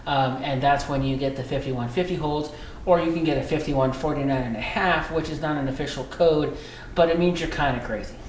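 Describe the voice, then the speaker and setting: An American man being interviewed as a police dispatcher, explaining in a conversational, halting way with repeated phrases. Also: fairly high pitch. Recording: echoey room with faint background noise.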